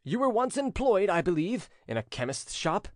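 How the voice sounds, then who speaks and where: A man's voice: high voice, very animated, moderate pace, a little mellow, no echo, studio quality, voice pushed up. A man voicing a haughty, menacing prince in a storybook reading, with a severe, commanding and insulting manner. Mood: severe, furious. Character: haughty, menacing prince bullying his servant. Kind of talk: audiobook character acting.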